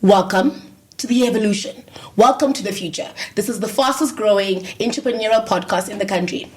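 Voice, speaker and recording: A woman hosting an interview podcast, lively and teasing, laughing often. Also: mid-range voice, brisk, slight background noise. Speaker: podcast host. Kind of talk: upbeat interview chat.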